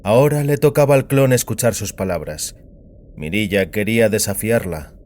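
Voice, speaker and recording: A man's voice: on the low side, normal speed, darkish tone. A man reading a science fiction story as scripted dramatic narration.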